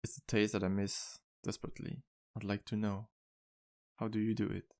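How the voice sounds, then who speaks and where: Sweet, courteous male vampire barkeep played by a man for ASMR roleplay: deepish, heavy vocal fry, intimate and sincere. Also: little pitch movement, normal speed, lightly acted. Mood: troubled, longing. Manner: soft-spoken, polite, earnest, flirtatious. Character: charming, sweet male vampire bartender, earnest and wistful. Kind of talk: ASMR roleplay, intimate one-sided dialogue to listener.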